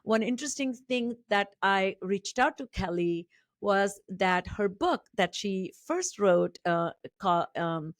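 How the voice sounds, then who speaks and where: A middle-aged woman from India with an Indian English accent, a native Marathi speaker, hosting an interview podcast in a measured way with many fillers and restarts. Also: mid-range voice, animated, dry recording, clean studio sound. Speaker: podcast host.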